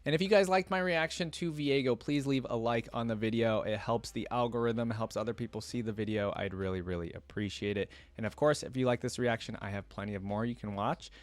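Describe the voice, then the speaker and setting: A man's voice: mid-range voice, fairly quick, few pauses, no echo. A man giving casual, unscripted commentary to viewers as a reaction video host, rambling and emphatic in a conversational way.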